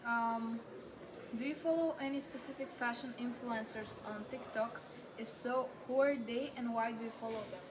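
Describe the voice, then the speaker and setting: A woman's voice: fairly high pitch, moderate pace. A woman interviewer asking questions in a casual research interview. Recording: noisy room ambience.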